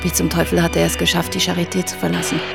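A woman's voice: fairly low pitch, completely flat delivery, fast, creaky, music in the background. A woman reading scripted narration in a radio crime drama.

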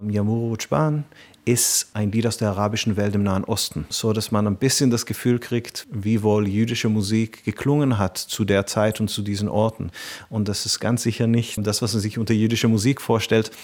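A man's voice: fairly low pitch, steady tone, a little fast, darkish tone. A man from Israel, a guitarist and ensemble director, explaining his musical programme thoughtfully and at length in an interview. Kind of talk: interview answers.

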